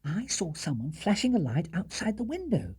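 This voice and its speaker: A man's voice: high voice, wildly swooping pitch, moderate pace, a little mellow, slightly rough, pitched above the performer's own voice, exaggerated voice. A British man voicing an earnest young bear detective in a storybook, explaining things in a matter-of-fact way. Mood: patient explaining.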